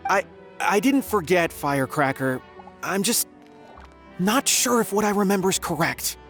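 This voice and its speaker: A man's voice: sits high, expressive, normal speed, fairly bright tone, faint music behind. A man voicing an unsure, forgetful paper frog who was once a singer, talking in a hesitant, wry way.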